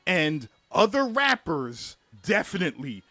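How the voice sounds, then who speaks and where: A man narrating a played music history video in an animated way, laughing often as he goes. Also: high voice, measured pace, no room sound. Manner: animated, laughing often, acts out little scenes. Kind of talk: scripted narration with asides.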